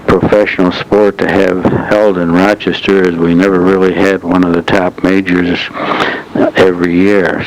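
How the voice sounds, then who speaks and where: Low, monotone elderly man. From United States, upstate New York. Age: 70s or older. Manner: measured, halting phrasing with pauses. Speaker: longtime community club member recounting local history. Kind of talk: slow oral history reminiscence, partly as if from notes. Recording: noisy old reel-to-reel tape transfer.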